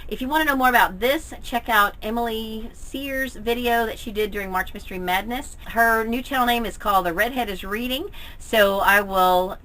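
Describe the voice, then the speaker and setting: Bright-voiced American woman, chatty and candid. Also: on the high side, moderate pace, few pauses, poor audio. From Oklahoma, United States. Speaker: book reviewer, vlogger. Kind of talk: casual monthly reading wrap-up, chatty opinion.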